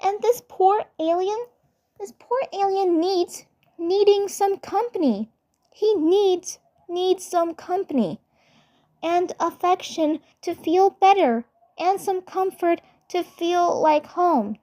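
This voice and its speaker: A sweet little cartoon superhero girl, acted out by a woman, with a very high voice. She gushes affectionately and excitably, often saying a phrase twice over. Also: takes it slowly. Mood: pleading, smitten. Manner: gushing, repeats phrases.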